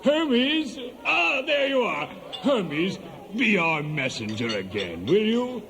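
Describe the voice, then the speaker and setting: Genial, absent-minded elderly chief god for radio drama; high-pitched, very slow, halting delivery. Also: male voice, sing-song, creaky, pushed and bright, full character voice. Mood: genial, dithering. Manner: dithering, hesitant, halting. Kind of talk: 1970s radio play acting.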